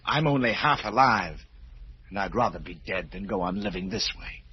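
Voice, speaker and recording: An actor playing a desperate, obsessed and menacing man in an old-time radio horror drama, speaking with brooding, halting intensity. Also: on the low side, lively intonation, breathy edge. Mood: bitter, threatening. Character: desperate, obsessed, menacing male musician with a grafted hand. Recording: muffled, noisy vintage radio recording.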